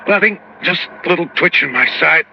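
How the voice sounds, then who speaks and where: Higher-voiced, halting radio-drama pilot. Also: male voice, lively intonation, creaky. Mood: wincing. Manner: folksy, halting, gasping. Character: hearty male cargo pilot crippled with pain. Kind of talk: 1950s radio drama acting. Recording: dull old radio recording, faint noise.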